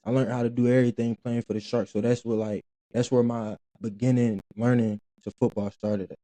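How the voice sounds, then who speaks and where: A young man, his voice very dark and mellow. He talks in a loose, rambling way with slang and many restarts, recorded close and dry. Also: mid-range voice, level tone, brisk, no echo. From Philadelphia, Pennsylvania. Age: 20s. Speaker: guest, former college football player. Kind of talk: casual interview talk.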